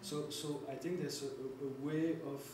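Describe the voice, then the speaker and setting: A man's voice: medium pitch, fairly even intonation, normal speed. A Belgian man giving a thoughtful remark in a discussion, stammering and repeating words. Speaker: audience member in discussion. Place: large public hall. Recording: echoey hall, distant mic, hum.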